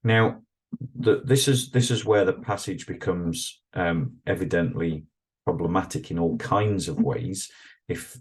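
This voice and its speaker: A man's voice: low-pitched, darkish tone. A man reading passages aloud and commenting on them in a thoughtful, hesitant way, with frequent fillers and restarts. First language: English. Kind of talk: reflective solo commentary with read-aloud passages.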